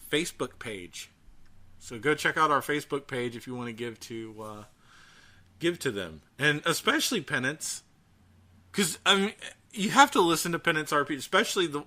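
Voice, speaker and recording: A man co-hosting a casual podcast, talking in a chatty, halting way. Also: medium pitch, bright, forceful tone. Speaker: podcast co-host.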